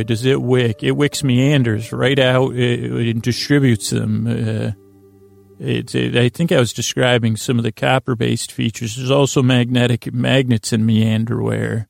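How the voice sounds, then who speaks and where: Creaky-voiced man rambling soothingly. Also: medium pitch, a little mellow, no echo. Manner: meandering, halting, often trails off and repeats himself; lulling, soothing, whimsical. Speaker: podcast host. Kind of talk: rambling bedtime monologue to lull listeners to sleep.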